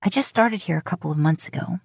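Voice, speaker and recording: A woman's voice: lowish voice, fast, vocal fry, slightly rough, no room sound, studio quality. A woman voicing a cautious, flustered young woman with a hesitant, guarded manner in audiobook dialogue. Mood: gently declining.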